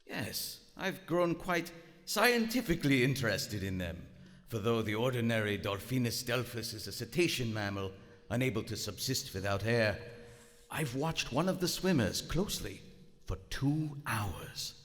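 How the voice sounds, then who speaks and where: A proud, cold German U-boat captain, played by a man in a live radio play. He is stern and formal, with a measured delivery that still swings widely in pitch. The sound rings in a big theater hall. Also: animated, fairly bright tone. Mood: composed, intrigued. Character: proud, cold German WWI submarine captain, narrating his log. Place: on stage in a large theater. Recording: echoey theater hall, live stage recording.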